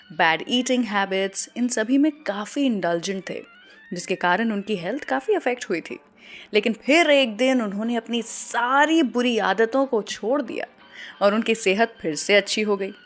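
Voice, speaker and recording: A woman with an Indian accent presenting a radio show as host, mixing Hindi and English. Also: medium pitch.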